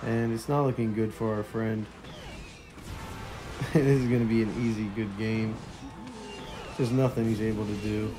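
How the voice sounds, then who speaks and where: A young man with a hoarse, partly lost voice, casually commentating a mobile strategy game and chuckling quietly as he plays. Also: fairly low pitch, measured pace, long pauses, low-quality recording. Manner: relaxed, chuckles quietly while playing.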